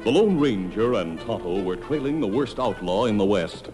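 A man's voice: fairly low pitch, sing-song, normal speed, loud music behind the voice. A man narrating an old radio western in a declamatory, dramatic announcer's storytelling style.

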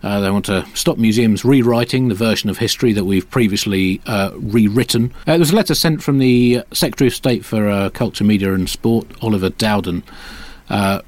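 A man hosting a satirical comedy chat in a deadpan, hesitant way. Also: lowish voice, a little fast, no echo. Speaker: comedy podcast host.

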